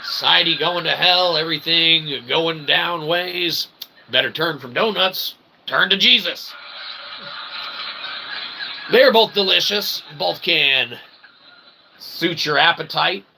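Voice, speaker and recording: A man's voice: fairly high pitch, takes it slowly, pauses often. A man hosting a livestream, giving a rambling philosophical monologue in wordy, convoluted phrasing with frequent tag questions. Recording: clear background noise.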